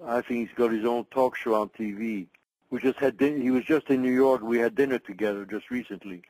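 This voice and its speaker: An older man from Belgium, a migration researcher, answering interview questions in a thoughtful, halting way with many restarts. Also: medium pitch, fairly even intonation, darkish tone, dry recording, poor audio.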